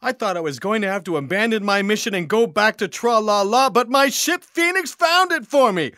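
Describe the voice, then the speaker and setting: Sci-fi audio comedy: small excitable alien, high, animated, easily distracted, gleeful. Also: male voice, fairly quick, no echo, studio quality. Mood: distracted wonder. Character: excitable, scatterbrained little alien dance champion, formerly a dog.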